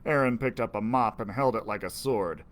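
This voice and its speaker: A man's voice: lowish voice, normal speed. A man reading a children's adventure story aloud as a storyteller. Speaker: library storyteller.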